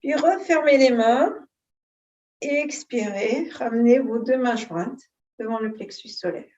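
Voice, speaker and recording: A woman teaching yoga, giving calm, unhurried and soothing guidance on posture and breathing. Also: on the high side, dull audio. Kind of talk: guided yoga and breathing instruction.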